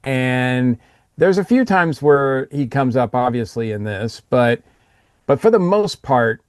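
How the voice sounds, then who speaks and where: A man co-hosting a podcast, giving a casual review in a halting, thoughtful way. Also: mid-range voice, lively intonation, clear, resonant tone, dry recording, studio quality. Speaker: podcast co-host.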